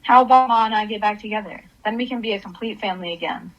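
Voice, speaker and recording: A woman's voice: medium pitch, fast talker, lo-fi sound, natural voice. A woman playing a troubled, grieving divorced mother in a radio play, subdued and brooding. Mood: needy.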